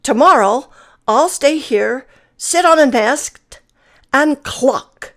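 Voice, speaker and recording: A woman's voice: high voice, animated, slow, a bit bright, full character voice. A showy male peacock, read by a woman. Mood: eager. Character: showy, eager male peacock, new to the farm.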